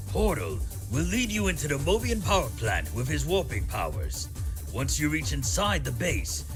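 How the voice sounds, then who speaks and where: A man's voice: fairly high pitch, creaky, exaggerated voice. A man voicing a pompous, scheming mad scientist villain in a fan comic dub, giving orders in a theatrical, emphatic way.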